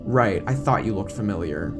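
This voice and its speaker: A man's voice: fairly low pitch, animated, moderate pace, over music, pitched below the performer's own voice, natural voice. A man voicing a brusque, self-assured woman television reporter in an audio drama, curt and dismissive. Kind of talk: audio drama character acting.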